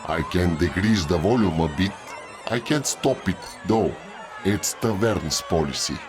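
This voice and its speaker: Gruff tavern keeper male actor, sluggish and blunt, very low register, audio drama with faint music. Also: lively intonation, unhurried. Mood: gruff, indifferent.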